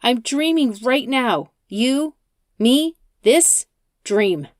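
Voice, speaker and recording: A woman's voice: high-pitched, animated, very slow, no echo, studio quality, voice pushed up, heavily acted. A woman narrator playing a distraught, hostile young hitchhiker in an audiobook, with an accusing, emotional delivery. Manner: shouting, tearful, accusing.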